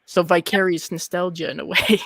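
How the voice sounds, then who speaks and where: A chatty young adult hosting a casual livestream interview, quick to chime in with short affirmations. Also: medium pitch, fairly even intonation, gravelly, fairly bright tone, husky, dry recording. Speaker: host interviewer of a horror livestream.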